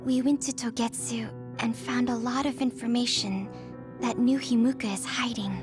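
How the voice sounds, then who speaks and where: A woman plays a young girl fighter in an anime game. Her voice is high and girlish, hesitant and soft-spoken, with loud music behind it. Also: steady tone, moderate pace, natural voice. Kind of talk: anime-style video game voice acting. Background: dramatic background music.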